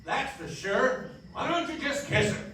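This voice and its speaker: Crotchety, meddling old neighbour, high forceful voice and drawn-out delivery, radio play. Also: male voice, sing-song, full character voice. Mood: exasperated, mocking. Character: cranky, meddling old neighbour man scolding wasted youth. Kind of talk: live radio play acting. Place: live stage in a dance hall. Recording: very echoey hall, distant mic, faint noise.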